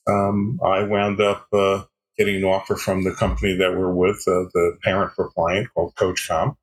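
Low-voiced older man, measured. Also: flat delivery, low-quality recording. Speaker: podcast guest, sales executive. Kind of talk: relaxed interview answers.

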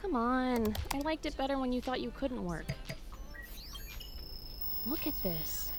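A woman's voice: sits high, animated, unhurried, over music. A woman playing a teasing, flirtatious guest in an audio drama, speaking playfully.

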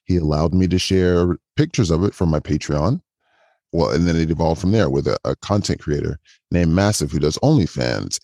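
A man with a voice modulated lower, chatting as a podcast guest in a calm, inviting way, often restarting his sentences. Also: dry recording, clean studio sound. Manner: chatty, with frequent restarts and repetitions. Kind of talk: casual interview chat.

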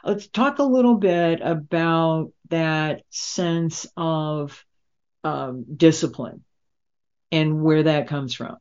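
A woman interviewer discussing a character in deliberate, halting phrases on a muffled recording. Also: sits low, darkish tone, clean tone. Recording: dull, lo-fi voice recording.

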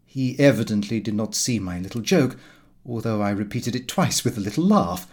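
A man reading a comic first-person diary aloud in an expressive, fussy storytelling manner. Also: lowish voice, moderate pace. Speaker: audiobook narrator.

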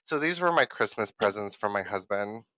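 A man's voice: fairly low pitch, lively intonation, moderate pace, pushed and bright, dry recording. A man co-hosting a podcast in casual chat, rambling and giggly and losing his train of thought, on a close podcast mic in a small home studio. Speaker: podcast co-host.

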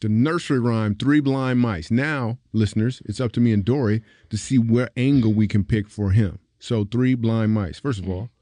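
A man's voice: mid-range voice, normal speed, few pauses, dark tone, no echo. A man hosting a comedy podcast, joking and teasing playfully and laughing often. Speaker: podcast host. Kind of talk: casual comedy podcast banter.

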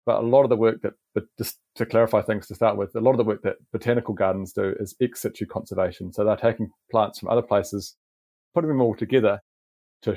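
A middle-aged man with a New Zealand accent, a garden manager, explaining in a relaxed podcast interview with frequent restarts. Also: lowish voice, no room sound.

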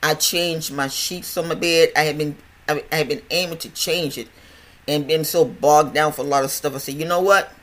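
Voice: medium pitch, fairly even intonation, normal speed, fairly bright tone, a little hiss or hum. An older podcast host with a stutter, talking in a chatty, rambling way with many restarts and repeated words. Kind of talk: casual health podcast monologue.

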